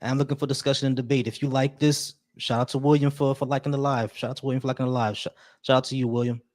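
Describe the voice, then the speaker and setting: A man chats loosely on a live stream, welcoming viewers and trailing into little restarts. His voice sits at an average pitch for a man. The tone stays casual and unhurried. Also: little pitch movement. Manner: laid-back, shout-outs to viewers, many restarts. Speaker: livestream host.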